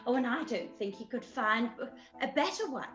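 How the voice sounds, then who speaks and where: A woman's voice: a little high, expressive, moderate pace, fairly bright tone, lo-fi sound, soft background music. A woman playing a gushing, gossipy, boastful matchmaking mother in a period radio play, in an echoey room. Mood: gossipy delight.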